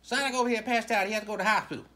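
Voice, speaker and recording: A woman doing an improvised comedy skit with plush toys in a living room, with lively, loud comic banter. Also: fairly high pitch, racing. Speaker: puppeteer voicing plush toys.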